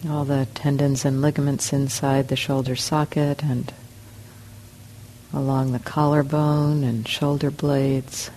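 A woman leads a guided meditation, speaking slowly in short phrases with long pauses. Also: very deep voice, flat delivery, mellow, slight background noise, poor audio. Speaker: meditation teacher. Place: meditation center hall.

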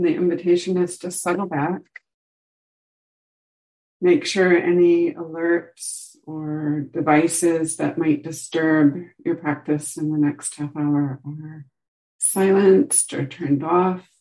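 A woman teaching a guided meditation, giving gentle instructions with long pauses between short phrases. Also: sits low, fairly even intonation, rough recording.

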